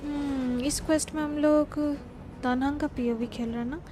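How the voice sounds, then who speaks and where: A woman's voice: high-pitched, steady tone, very fast, warm tone. A woman with an Indian accent doing casual, informal live commentary as she streams a game. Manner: informal, mixes two languages. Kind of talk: casual live gameplay commentary, reading dialogue aloud and reacting. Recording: game music behind the voice.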